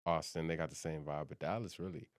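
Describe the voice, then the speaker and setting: A young man with an extremely deep voice chats easily as a podcast guest. His talk is loose and friendly, peppered with "bro" and "you know what I mean". The sound is close and dry, with little room around it. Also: steady tone, quick pace, dry recording. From South Sudan. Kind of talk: casual podcast chat.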